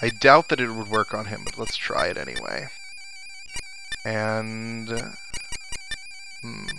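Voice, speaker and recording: A man's voice: fairly low pitch, big pitch swings, slow pace, creaky, poor audio. A man giving casual video game commentary, musing to himself and reading bits of the story aloud. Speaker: let's play commentator. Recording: game music behind the voice. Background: video game music and sound effects.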